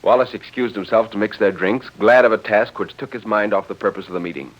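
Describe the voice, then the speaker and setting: A man narrating a dramatic story in 1940s radio style, with a literary, expressive delivery. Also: fairly low pitch, fast talker. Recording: dull vintage 1940s radio recording.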